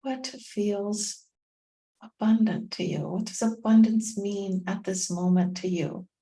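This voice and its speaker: A woman leading a guided meditation in a soothing, gentle manner, leaving long pauses between short phrases. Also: mid-range voice, fairly even intonation. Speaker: meditation guide and tapping coach.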